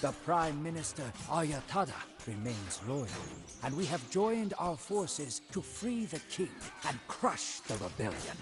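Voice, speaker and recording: An actor playing a proud, devoted commander of a royal guard, narrating a game's storybook in a solemn, deliberate manner. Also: male voice, fairly high pitch, very animated. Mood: grave, urgent. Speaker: narrator of a game campaign story. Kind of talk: scripted storybook narration in character. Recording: music behind the voice.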